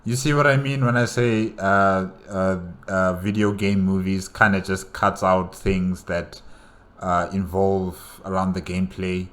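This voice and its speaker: Low-voiced man thinking aloud. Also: flat delivery, unhurried, fairly bright tone, a little hiss or hum. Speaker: reaction video host. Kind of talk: casual reaction commentary.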